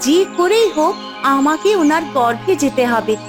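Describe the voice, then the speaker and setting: A woman's voice: lively intonation, moderate pace, over music. A woman voicing a scheming spirit yearning for rebirth in a fairy-tale cartoon, theatrical and determined. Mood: eager, determined. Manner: high-pitched, theatrical, determined.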